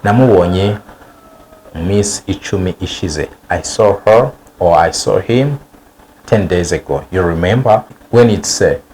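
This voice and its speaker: A man teaching English vocabulary in a lesson, saying example sentences slowly and repeating them for learners. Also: low voice, a little mellow, a little hiss or hum. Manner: slow, drawn-out model sentences repeated for learners. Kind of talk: bilingual language lesson.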